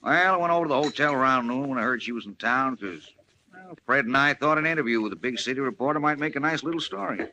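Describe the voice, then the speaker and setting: An actor playing a breezy, wisecracking small-town reporter in a 1940s-style radio drama. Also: male voice, mid-range voice, fast talker, sharp tone. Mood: casual. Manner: breezy, informal. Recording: noisy old-time radio recording.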